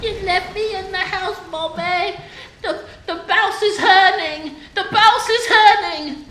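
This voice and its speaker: A woman playing a naive, petulant young son in an improvised comedic radio play, plaintive and deliberate in phrasing. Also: very high voice, echoey room, background noise.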